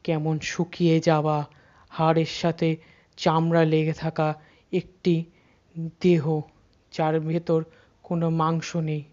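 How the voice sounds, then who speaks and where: A narrator reads a scripted horror story, using dramatic pauses and repeated words to build suspense. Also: mid-range voice, completely flat delivery, darkish tone, muffled recording.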